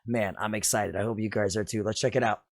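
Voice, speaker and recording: A man in his forties with a deep, raspy voice, chatting enthusiastically and casually as he reacts to music. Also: steady tone, fast talker, no echo, studio quality.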